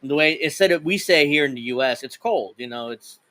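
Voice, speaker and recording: A man's voice: on the high side, animated, fairly quick, sharp tone, dry recording. Male co-host, casual, rambling, chuckles a lot. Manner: rambling, laughs often, retells stories. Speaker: podcast co-host. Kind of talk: casual chat about wrestling.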